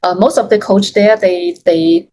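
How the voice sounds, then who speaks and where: A young woman from Yunnan, China, a native Chinese speaker talking in English as an interview guest, halting and full of restarts, with frequent laughs. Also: medium pitch, steady tone, dark tone. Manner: hesitant, many restarts and fillers, laughs often. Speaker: guest, freelance Chinese teacher. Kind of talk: casual interview chat.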